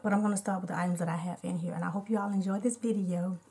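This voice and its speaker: A woman with a slightly hoarse, congested voice, chatting casually as a beauty vlogger and narrating each step of an unedited makeup tutorial. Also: lowish voice, steady tone, racing, noisy background.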